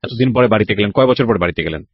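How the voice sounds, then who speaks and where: A man's voice: lowish voice, animated, very fast, no room sound, dull audio. A man with a Bangladeshi Bengali accent hosting a radio interview, bluntly interjecting with probing questions and mixing English words into his talk. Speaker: radio show host, interviewer.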